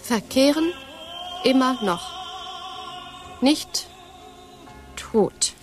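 High curious girl echoing stuff back, music under it. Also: animated, extremely slow, full character voice. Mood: inquisitive. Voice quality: echoing, inquisitive. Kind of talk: radio play acting.

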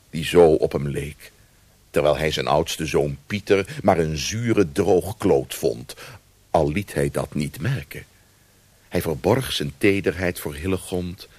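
Slow, gravelly, deep storyteller. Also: male voice, no echo, full character voice. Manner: expressive, savouring pauses and asides. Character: wry male storyteller of old Amsterdam. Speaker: narrator. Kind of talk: dramatised storytelling narration in radio play.

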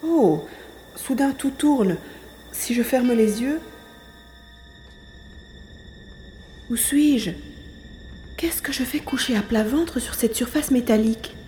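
A woman playing a determined, curious young hero in a science fiction audio drama, expressive and thinking aloud. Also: on the high side, a little slow, a little hiss or hum, lightly acted. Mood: dazed, alarmed.

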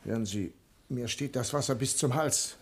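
An actor playing an agitated, skeptical banker facing ruin in a 1960 radio crime drama, with a husky, theatrical delivery. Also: male voice, medium pitch, normal speed. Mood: skeptical, anxious. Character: flustered, skeptical male banker facing bankruptcy.

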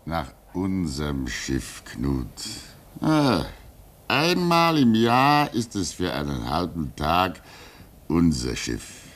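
A fatherly older man at a remote station in a vintage radio play. His voice is deep and he takes his time, musing wistfully and soothing whoever he talks to. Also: huge pitch swings, a bit bright, full character voice. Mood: wistful, fond. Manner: soothing, chuckling, drawn-out musing. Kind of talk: 1950s German radio drama acting.

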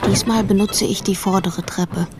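A woman's voice: medium pitch, fairly even intonation, normal speed, noisy background, lightly acted. An actress playing a curious, observant teenage girl who narrates her own story matter-of-factly in a radio play. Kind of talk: scripted radio drama narration.

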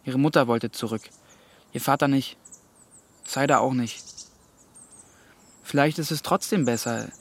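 A teenage boy in a radio drama, medium-pitched and youthful. He is curious and a little stubborn, talking in a plain, thoughtful, dreamy way. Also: a little slow, long pauses. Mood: dreamy, reflective. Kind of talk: naturalistic German radio drama acting.

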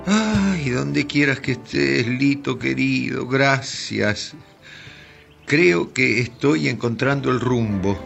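An actor playing an excitable theatre company leader in a radio drama, with an Argentine accent and a halting, stammering, emotional delivery. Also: male voice, mid-range voice, vocal fry, over music. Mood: moved.

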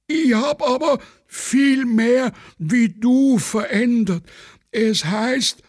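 Man putting on a high, plaintive Viennese poet. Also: dry recording, clean studio sound, exaggerated voice. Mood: plaintive, self-important. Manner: slow, drawn-out verse reciting. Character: plaintive, vain Viennese amateur poet, male.

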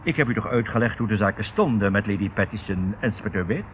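A man's voice: lowish voice, animated, fast, lightly acted. An actor in a radio drama playing a fussy, formal male solicitor with a precise, emphatic manner. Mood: fussy, explaining. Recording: tinny, noisy old radio recording.

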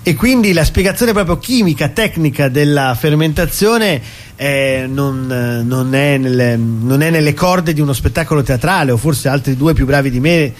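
Higher-voiced man, chatty interview guest. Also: normal speed. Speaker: stage actor, radio guest. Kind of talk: interview, casual explaining of a show.

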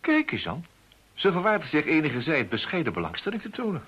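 An actor playing a self-assured, urbane private detective in a radio play, with a teasing, wry manner. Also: male voice, fairly high pitch, huge pitch swings, slightly rough, full character voice. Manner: urbane, teasing, hesitant little pauses. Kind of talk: Dutch radio play acting. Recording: muffled, faintly noisy old radio recording.

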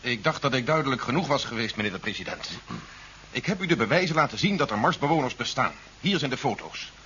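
Commanding older general, muffled recording. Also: male voice, mid-range voice, animated, quick pace, pushed and bright. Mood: grave, insistent. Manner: commanding, urgent, formal with superiors. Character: older army general, urgent, commanding, grimly insistent. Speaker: actor. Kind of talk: 1970s science fiction radio play acting. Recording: muffled, noisy 1970s radio play recording.

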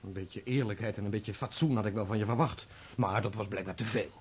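An actor playing a bitter, betrayed husband in a Dutch radio play, sarcastic and accusing in manner. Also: male voice, fairly low pitch, fairly even intonation, fairly quick, breathy edge. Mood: bitter, weary. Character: bitter, betrayed husband, proud and wounded musician.

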